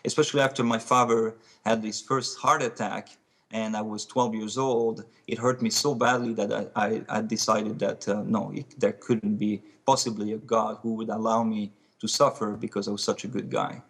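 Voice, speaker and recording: A man, a guest telling stories in a casual interview, pausing often and filling gaps with hesitations. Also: on the low side, little pitch movement, fairly bright tone. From France. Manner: halting, with frequent pauses and fillers.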